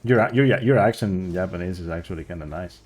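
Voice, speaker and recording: A man's voice: sits low, fast talker, a crowd in the background. A man streaming a speedrun, chatting casually and rambling as he searches for words. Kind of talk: casual chat while playing.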